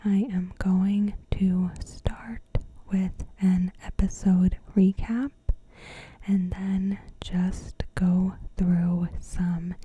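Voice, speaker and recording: A woman softly whispering a scripted recap as an ASMR host, in short, deliberate phrases with pauses. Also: fairly low pitch, slight background noise, poor audio. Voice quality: soft, breathy whisper. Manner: slow, deliberate, pausing between short phrases.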